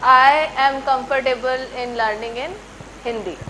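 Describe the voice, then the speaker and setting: A woman's voice: sits high, steady tone, measured pace, background noise. A woman with an Indian English accent leading an interactive workshop session, quizzing the audience and mixing in Hindi. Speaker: workshop trainer. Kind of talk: interactive classroom teaching. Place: large lecture hall.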